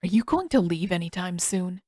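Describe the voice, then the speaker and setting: A woman's voice: fairly low pitch, lively intonation, normal speed, a little mellow, dry recording, clean studio sound. A woman voicing a tired, sullen teenage prince in an audiobook story, terse, defensive and sarcastic. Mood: weary, sullen.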